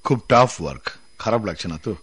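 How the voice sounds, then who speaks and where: Husky, deep-voiced courteous office superior. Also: male voice, lively intonation, brisk, soft background music. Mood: considerate, hesitant. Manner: courteous, tentative, soft-hearted. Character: considerate, polite male office superior. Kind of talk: radio drama acting.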